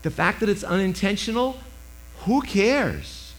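A man in his sixties with a loud voice, preaching a sermon into a microphone with emphatic swings between loud and hushed. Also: high voice, lively intonation, measured pace, rough recording.

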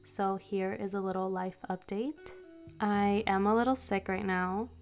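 A woman hosting her own reflective podcast, congested from a cold and speaking slowly and gently as she reads her own poetry. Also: medium pitch, fairly even intonation, soft background music. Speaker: podcast host.

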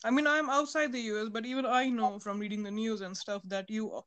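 A male podcast host with a high voice keeps a relaxed, casual conversation going. He asks curious questions and draws his guest out. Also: brisk, a crowd in the background.

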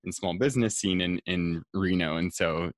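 A man's voice: deep voice, moderate pace, no echo. A young man from rural Nevada chatting casually on a podcast, rambling with many fillers and restarts. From rural Nevada, USA. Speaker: marketing staff guest.

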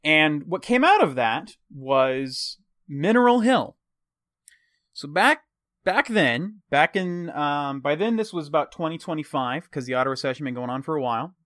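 A man's voice: a little high, animated, normal speed, a bit bright, no room sound, studio quality. A man with a slight Texan accent, casually improvising a fictional world's history as a show's host, rambling and correcting himself as he goes.

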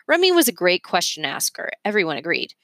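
Storybook narrator, woman, slightly low register, reading aloud in a dry acoustic. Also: wildly swooping pitch, brisk, gravelly, fairly bright tone, dry recording. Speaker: storybook reader.

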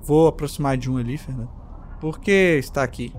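A man with a mid-pitched voice plays a tabletop roleplaying game. He speaks casually and in character, in short, somewhat hesitant replies, with faint music underneath. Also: fairly quick. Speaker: tabletop RPG player.

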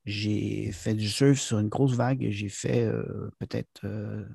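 Gravelly, husky Québécois man talking. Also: fairly low pitch, steady tone, takes it slowly, dark tone, no room sound, studio quality. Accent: Québécois French. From Quebec. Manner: hesitant, many fillers and restarts. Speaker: guest, trainer and author. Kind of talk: casual interview talk.

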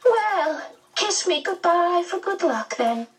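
Jolly otherworldly female medium, very high voice, gushing, flirtatious, sentimental, swooping, storybook character. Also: expressive, slow pace, recorded from a distance, exaggerated voice. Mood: brightening. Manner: gushing, wailing, exclamatory.

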